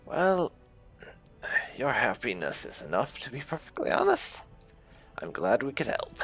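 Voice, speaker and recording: Low voiced guy chatting through a game, slow n creaky, little explainer asides. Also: huge pitch swings, fairly bright tone, faint music behind. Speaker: gaming YouTuber. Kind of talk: casual game commentary.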